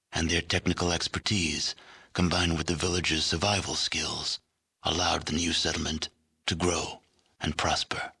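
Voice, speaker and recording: Deep male voice, husky, grave, world-weary, measured video game narration, solemn post-apocalyptic storyteller. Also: bright, forceful tone, exaggerated voice.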